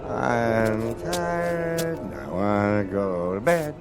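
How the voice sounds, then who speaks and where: An actor playing a tired, slightly drunk older man in a radio drama, gravelly and mumbling with many hesitations. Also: medium pitch, lively intonation, extremely slow, heavily acted. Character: tired tipsy older man rummaging in an alley. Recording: radio play with music underneath.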